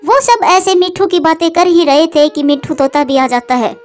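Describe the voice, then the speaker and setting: A woman's voice: very high voice, moderate pace, faint music behind. A woman reading scripted narration for a children's bird story as its narrator.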